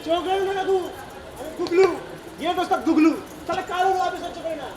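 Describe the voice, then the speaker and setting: Guy doing cocky young train robber ghost, stage comedy, super high voice, slangy threats, echoey hall. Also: steady tone, quick pace, crowd noise. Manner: brash, slangy, threatening gangster swagger. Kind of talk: comic Bengali stage play acting. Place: theatre stage at a drama festival. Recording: echoey stage recording, audience noise.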